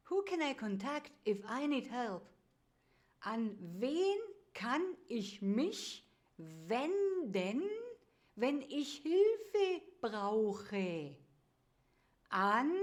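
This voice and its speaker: A woman teaching German to beginners, saying each sentence word by word and then whole, with an English prompt first. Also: a little high. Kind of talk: slow repetition drill for beginners.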